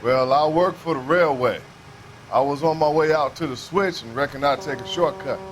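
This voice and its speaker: An actor playing a plain-spoken, folksy railway worker in a crime game, colloquial and matter-of-fact. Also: male voice, on the high side, moderate pace, soft background music, lightly acted. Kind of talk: cinematic game acting.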